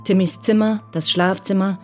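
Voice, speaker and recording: A woman reading scripted narration for a radio crime drama in a composed, measured way. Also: medium pitch, steady tone, a little mellow, faint music behind.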